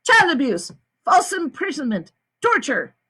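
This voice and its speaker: A woman reading aloud, voicing a fierce, small female attorney who speaks loudly and sharply. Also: high voice, huge pitch swings, measured pace, voice pushed up, exaggerated voice. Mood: forceful. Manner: forceful, sharp and loud.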